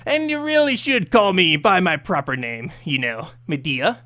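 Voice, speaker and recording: A man's voice: high-pitched, expressive, normal speed, bright, forceful tone. A man voicing a small, nervous, greedy demon from a fantasy story, shifty and self-important. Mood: hesitant, wheedling. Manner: shifty, wheedling, boastful.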